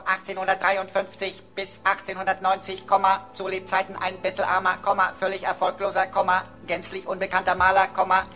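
A woman's voice: mid-range voice, fairly bright tone, natural voice. A woman playing a flippant talking pocket computer in a radio drama, showing off as a mock-pompous know-it-all.

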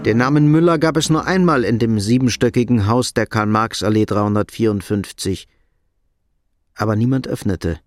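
A man narrating a radio drama in a measured, understated, literary style. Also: lowish voice, a little mellow, no echo, studio quality, soft background music.

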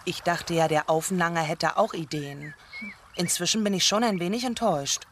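An actress playing a brisk, curious deaconess in a radio drama, asking drily and crisply. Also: female voice, lowish voice, a little hiss or hum, lightly acted.

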